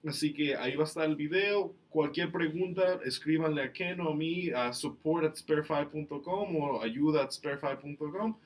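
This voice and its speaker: A man from Mexico, hosting a live online Q&A, talks through each answer at an easy pace, with plenty of fillers. His voice sits a bit high for a man. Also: moderate pace, few pauses. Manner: relaxed, explanatory, with fillers. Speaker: company staff host answering questions. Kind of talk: live stream Q&A, bilingual.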